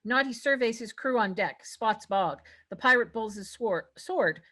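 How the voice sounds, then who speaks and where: A woman's voice: fairly high pitch, expressive, measured pace, sharp tone. A woman reading stage directions as the narrator at a script table read.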